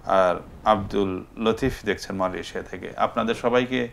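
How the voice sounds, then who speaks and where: A man with a Bangladeshi accent hosting a festive phone-in show, speaking politely with hesitant pauses. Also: lowish voice, creaky, a little hiss or hum. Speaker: live TV show host.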